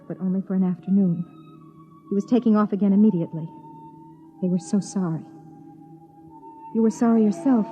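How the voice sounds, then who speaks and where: An actress playing a lonely, anxious young wife in 1950s radio drama style, dramatic and deliberate. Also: female voice, mid-range voice, lightly acted. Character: lonely, anxious young wife narrating her own story. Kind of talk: 1950s radio drama, second-person narration. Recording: dull old radio recording.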